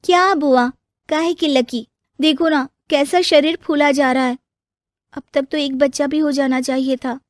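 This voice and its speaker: A woman playing a young daughter-in-law in a family story, emphatic and quick to complain. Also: sits high, fairly even intonation, no room sound. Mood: cheerful, dutiful. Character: young daughter-in-law, dutiful turned whining and defiant.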